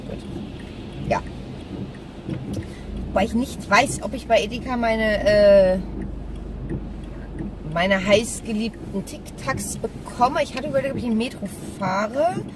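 A middle-aged woman chats casually and ramblingly to camera as she drives, thinking aloud. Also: fairly high pitch. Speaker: vlogger. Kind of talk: casual chat to camera while driving. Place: inside a small car. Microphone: dashboard camera at arm's length. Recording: distant mic, loud car driving noise.

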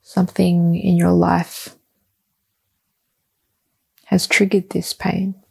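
Woman, meditation guide, calm and soothing, unhurried pace, flat even intonation, deliberate pauses, soft instruction. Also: on the low side, gravelly, breathy edge.

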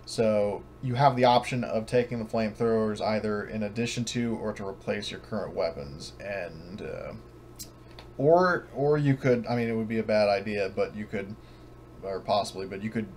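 Low-voiced hesitant man as game master. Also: moderate pace, darkish tone. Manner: hesitant, casual, thinking aloud. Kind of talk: improvised narration of a tabletop game. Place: online voice call. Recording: noisy voice-chat recording.

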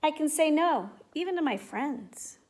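A middle-aged woman teacher speaking in simple, clear phrases to young children, as in a read-aloud or classroom demonstration. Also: sits high, lively intonation, a little slow, darkish tone, slight background noise. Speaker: teacher making videos for pupils. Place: indoors at home, living room and kitchen. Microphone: device mic at about arm's length.

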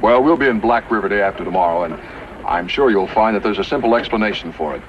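Man as lively, courteous cavalry lieutenant. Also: lowish voice, fast, lightly acted. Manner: polite, formal. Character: courteous, reassuring young cavalry lieutenant in the Old West. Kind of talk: 1950s radio drama acting. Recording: muffled, hissy old radio recording.

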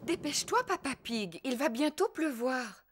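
A woman voicing a caring, watchful mother pig in an expressive, maternal manner for a French cartoon. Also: high voice, normal speed, slight background noise. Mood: urgent.

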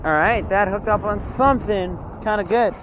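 A young man from Texas, with a slightly high voice, chatting upbeat commentary to his viewers while fishing from a rocky jetty. He exclaims over each catch as it comes in. The sound comes from a body-worn action camera, with loud wind blowing across the mic. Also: moderate pace, highs cut off. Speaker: fishing vlogger. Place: outdoors on a rocky jetty by open water. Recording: wind noise outdoors. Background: wind and water.

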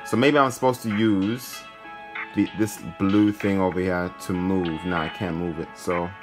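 A man's voice: low-pitched, fairly even intonation, unhurried. A man hosting a gaming video, commentating casually and thinking aloud in an informal way. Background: game music.

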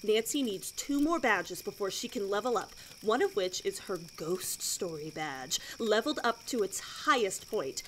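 A woman's voice: medium pitch, expressive, moderate pace, few pauses, a bit bright, slight background noise, lightly acted, poor audio. An actress playing a relentlessly cheery, blithely macabre female scout leader in an audio drama, chirpy and instructing. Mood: cheery, announcing.

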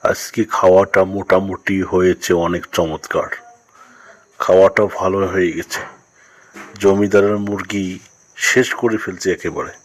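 A sly cartoon fox, voiced by a man, on the low side in pitch. He is chummy and well fed, and puts his questions in a polite, unhurried, village-neighbour manner. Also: steady tone, poor audio. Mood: sated, content. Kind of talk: cartoon moral-story dialogue.

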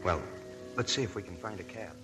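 An actor playing a young army lieutenant, gentle and hesitant, in 1940s radio drama style. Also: male voice, deep voice, fairly quick, close to an everyday voice. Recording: old radio broadcast with music.

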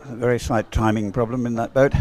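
A male sports commentator with a mid-pitched voice calls a race live. He speaks in short, unhurried phrases, often halting briefly before carrying on. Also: level tone, a little mellow.

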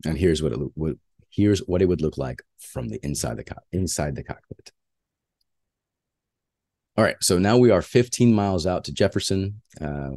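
A man's voice: low voice, dark tone, no room sound. A man who is a flight instructor, giving a plainspoken slide lecture on flying with frequent filler uhs.

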